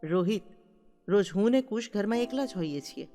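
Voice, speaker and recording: A woman's voice: mid-range voice, animated, fast, close to an everyday voice. Woman acting a curt, frustrated young wife. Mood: cool. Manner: curt, pointed. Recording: long reverb, faint music behind.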